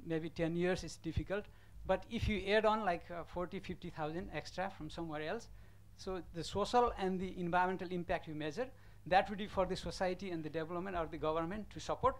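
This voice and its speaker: Halting man from Nepal speaking from the floor. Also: high voice, steady tone. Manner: halting, many fillers and restarts. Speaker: audience member asking a question. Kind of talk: conference floor comment.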